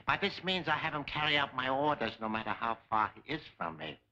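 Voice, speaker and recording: Piercing, suave 1940s serial villain. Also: male voice, medium pitch, lively intonation, fairly quick, bright, forceful tone. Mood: coldly commanding. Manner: formal, grandiose, coolly courteous. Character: suave, menacing Japanese mastermind villain, polite and coldly pompous. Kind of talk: 1940s film serial acting. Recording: dull 1940s film serial soundtrack, music.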